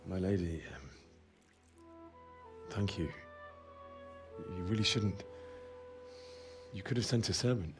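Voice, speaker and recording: A man's voice: sits low, animated, slow pace, pauses often, over music, exaggerated voice. A man playing a humble, earnest young blacksmith's son in a medieval video game, hesitant and deferential. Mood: flustered. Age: young adult. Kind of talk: video game cutscene acting.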